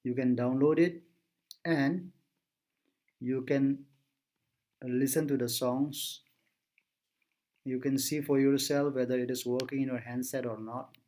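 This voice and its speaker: A man presenting an informal software tutorial, thinking aloud step by step as he works. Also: mid-range voice, fairly even intonation, slow, long pauses.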